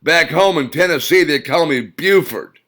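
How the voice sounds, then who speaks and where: A man's voice: fairly high pitch, lively intonation, moderate pace, voice pushed up. A man doing a brash, roaring soldier. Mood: boastful. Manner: loud, boastful shouting. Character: brash male soldier hero, swaggering.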